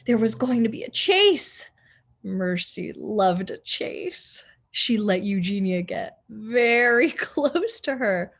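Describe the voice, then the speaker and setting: A woman teacher narrates a children's storybook. Her delivery is slow and expressive, with a very lively melody to each line. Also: on the high side. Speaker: teacher reading aloud.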